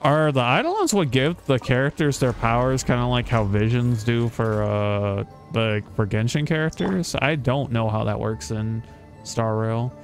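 A man's voice: medium pitch, lively intonation, moderate pace. A man live-streaming a game, chatting casually, reading aloud and muttering reactions with casual swearing. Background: video game music and sounds.

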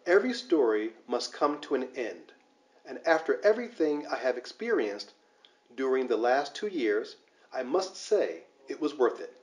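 A man's voice: medium pitch, big pitch swings, normal speed, low-quality recording. A man reading a scripted, reflective personal narration.

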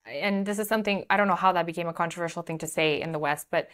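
A woman's voice: on the low side, racing, a bit bright. A young woman from Moldova, a podcast host whose first language is Russian, chatting casually with lots of likes and you-knows. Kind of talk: casual interview chat. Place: bright room with large window.

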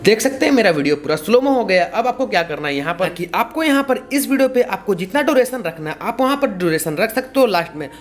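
A young man hosts a casual tutorial. His pitch moves up and down in a lively way as he explains each step, often repeating himself. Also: quick pace, few pauses. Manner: repetitive, explanatory. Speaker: tutorial host.

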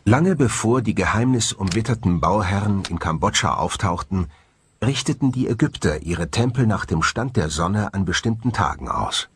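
A man delivering scripted documentary narration in a measured, dramatic way, as the presenter of the film. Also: on the low side, expressive, breathy edge.

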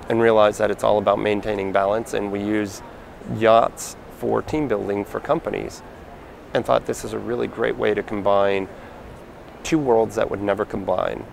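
A man in his forties speaking earnestly in an interview, pausing with fillers, recorded on a handheld mic in a large convention hall. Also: lowish voice, creaky, a little hiss or hum. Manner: earnest, plain-spoken, halting with fillers. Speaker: foundation founder. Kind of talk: interview answers. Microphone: handheld mic held by interviewer.

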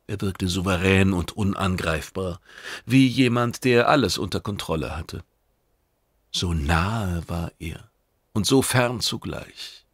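A male narrator reads a science fiction novel in a very low voice with a gravelly edge. The sound is dry and close, as in a studio audiobook. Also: takes it slowly, dry recording.

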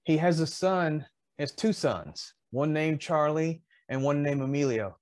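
A man in his fifties chatting casually as a podcast co-host, often pausing mid-thought. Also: fairly high pitch, expressive, darkish tone, no room sound. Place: indoors in a home collectibles room.

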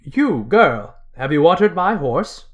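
A man's voice: a little high, very animated, measured pace. An actor playing a demanding, brusque male traveler at an inn in a radio drama. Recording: dull, muffled sound.

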